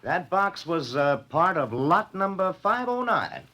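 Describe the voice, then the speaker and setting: Obliging male official, hesitant delivery with lively swings in pitch, vintage radio mystery. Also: a little slow. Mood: helpful. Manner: hesitant, helpful. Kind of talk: 1940s radio drama acting.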